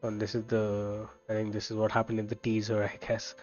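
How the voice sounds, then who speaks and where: A man's voice: fairly low pitch, completely flat delivery, fairly quick, darkish tone, muffled recording, faint music behind. A young man giving casual, laid-back game commentary in muttered reactions. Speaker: game streamer.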